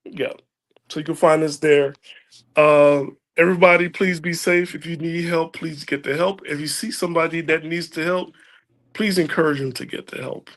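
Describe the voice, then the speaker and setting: A man's voice: a little high, fairly even intonation, moderate pace, fairly bright tone. A man hosting a casual podcast chat, joking and laughing often. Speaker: podcast host.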